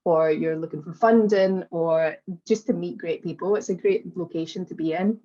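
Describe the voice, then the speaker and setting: A Scottish woman from Glasgow in her late twenties, a guest in an interview, telling her story in a relaxed, easygoing way. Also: on the low side, moderate pace. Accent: Scottish (Glaswegian). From Glasgow, Scotland. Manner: relaxed, storytelling, frequent "um" and "kind of". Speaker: guest, social media agency founder. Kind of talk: conversational interview. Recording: dull lo-fi remote audio.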